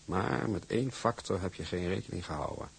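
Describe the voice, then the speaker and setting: An actor playing a formal, measured shipping businessman, speaking gravely and deliberately in a Dutch radio play. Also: low voice, slight background noise. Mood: grave, sympathetic.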